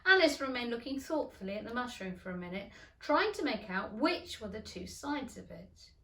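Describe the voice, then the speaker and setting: Expressive woman narrating, echoey room. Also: fairly high pitch, normal speed, recorded from a distance. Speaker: teacher reading to pupils. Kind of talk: expressive children's storybook narration.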